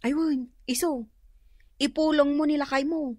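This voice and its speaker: An actress with an Ilocano accent playing a gossipy, sympathetic neighbour and confidante in a radio drama. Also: female voice, high-pitched, steady tone, measured pace, mellow, dry recording, studio quality.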